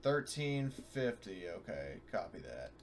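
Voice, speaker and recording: A man with a lowish voice chats casually as a game player. He works things out aloud in a halting way, with faint noise on the voice chat. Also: sing-song. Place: online voice call. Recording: noisy online voice chat.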